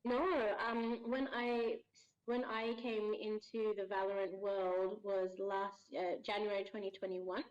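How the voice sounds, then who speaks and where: A woman voice actor chatting as a guest over a remote call, friendly and quick to laugh. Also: medium pitch, little pitch movement, lo-fi sound. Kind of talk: casual podcast interview. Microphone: remote video call.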